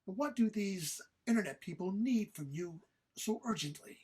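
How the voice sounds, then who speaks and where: A woman performs a disdainful, scolding companion in an audio comedy. The husky voice is arch and formal, full of dry sarcasm and exasperation. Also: medium pitch, expressive, moderate pace, a little mellow. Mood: sarcastic. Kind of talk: informal script read-through of audio comedy.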